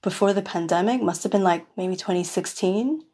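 A woman's voice: mid-range voice, normal speed, darkish tone. A woman from New York City, a podcast guest, talking in a rambling casual way with many fillers and restarts. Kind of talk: casual interview chat.

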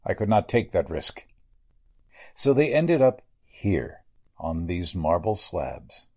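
A sinister doctor from a forties radio thriller, acted by a man. His voice is low and roughened. He talks with formal, measured condescension and calm menace, the pitch swinging widely. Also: heavily acted. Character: sinister murderous male doctor, coolly menacing narcotics dealer. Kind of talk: 1940s radio drama acting.

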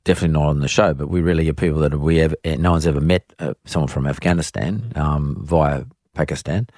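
Deep-voiced man hosting. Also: darkish tone, no echo, studio quality. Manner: measured, deliberate, with restarts and fillers. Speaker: podcast host. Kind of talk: interview chat with scripted intro.

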